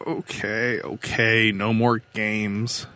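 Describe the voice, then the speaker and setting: A man's voice: fairly high pitch, lively intonation, normal speed, a bit bright, no room sound, pitched above the performer's own voice. A man voicing a weary, defiant, idealistic older woman who captains a starship in an audiobook. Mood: resigned, confessing. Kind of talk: audiobook character dialogue.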